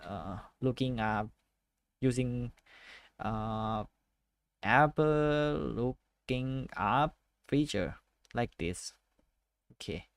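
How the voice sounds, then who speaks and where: A man's voice: mid-range voice, gravelly. A man with a Thai accent, talking casually through a study session and reading text aloud as he thinks. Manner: thinks aloud, reads text slowly, hesitant. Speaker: tech study vlogger. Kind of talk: casual self-study screen walkthrough.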